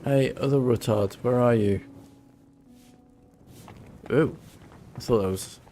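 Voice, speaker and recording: A man's voice: mid-range voice, takes it slowly, mellow, a little hiss or hum. A man streaming a video game, giving casual playthrough commentary, musing aloud with dry jokes.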